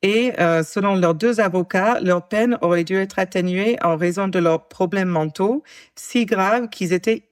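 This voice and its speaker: Halting, chatty woman in her forties. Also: medium pitch, clean tone, no room sound, clean studio sound. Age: 40s. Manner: halting, many fillers and restarts, wry asides. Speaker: true-crime podcast co-host telling the case. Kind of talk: casual storytelling chat.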